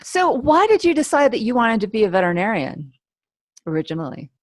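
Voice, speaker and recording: A woman hosting a podcast, reading a guest introduction steadily and then asking casual, lively questions. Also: on the high side. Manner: measured reading, then laughing, animated questions. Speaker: podcast host. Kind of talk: read introduction, then casual interview chat.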